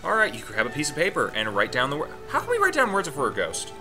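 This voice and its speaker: A man's voice: fairly high pitch, huge pitch swings, fast talker, music in the background. A man hosting a let's play, reading the game text aloud with his own asides and chuckles.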